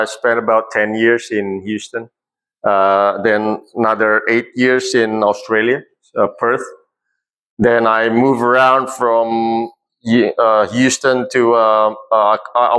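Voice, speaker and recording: A man in his fifties with an Indonesian accent talks informally to a group at a mid-level pitch. He is jovial and easygoing in manner, with halting phrasing as he leads the session. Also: fairly bright tone, rough recording. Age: 50s. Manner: jovial, chuckles often. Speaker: trainer introducing a course. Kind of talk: informal self-introduction to a group.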